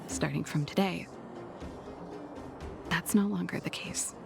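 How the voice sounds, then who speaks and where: A low gravelly game heroine. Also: female voice, takes it slowly, full character voice. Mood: reflective. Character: guarded young woman who runs from her problems. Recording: game audio with music behind.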